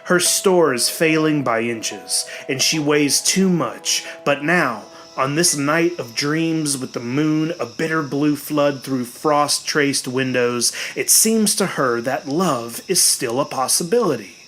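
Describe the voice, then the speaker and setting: A man narrating a horror story in a dramatic, deliberate, suspenseful way, with weighted pauses. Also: a little high, a bit bright, low-quality recording. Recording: music bed behind the voice. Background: clearly audible music.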